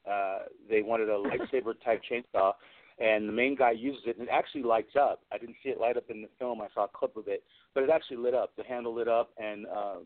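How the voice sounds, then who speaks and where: A man chatting casually as an interview guest, telling rambling, amused anecdotes about his work as a prop maker. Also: on the low side. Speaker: guest, movie special effects and prop maker. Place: remote call into a radio show. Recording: lo-fi, phone-like internet radio call.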